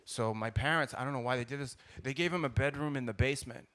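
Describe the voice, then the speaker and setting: A man's voice: mid-range voice, quick pace, a bit bright. A middle-aged man with a Boston accent doing blunt, colloquial stand-up storytelling into a stage mic. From South Boston, United States. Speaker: stand-up comedian. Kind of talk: stand-up comedy storytelling to a live audience. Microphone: stage microphone at a live show. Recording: live stage recording with audible background noise.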